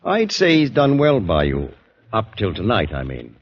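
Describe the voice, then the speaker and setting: Suave gentleman doctor, deep and husky. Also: wildly swooping pitch, full character voice. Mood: probing. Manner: urbane, soothing, insinuating, measured. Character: suave, probing English doctor and war veteran, genteel host. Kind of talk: old-time radio drama acting. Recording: muffled vintage 1940s-50s radio recording.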